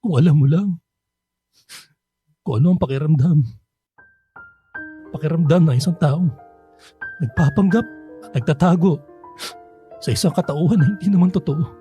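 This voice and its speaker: An actor playing a remorseful middle-aged father in a radio drama, speaking haltingly and apologetically. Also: fairly high pitch, faint music behind, natural voice. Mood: anguished confession. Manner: halting, soft-spoken, apologetic. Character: remorseful middle-aged father, hesitant, pleading, hiding his true self.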